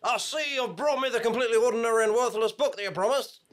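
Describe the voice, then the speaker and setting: A man's voice: very high-pitched, quick pace. A man playing a scheming, bumbling trickster wizard in live comic audio drama, hammy and mock-grand. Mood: smug, flustered. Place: small theatre stage before an audience. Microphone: stage microphone.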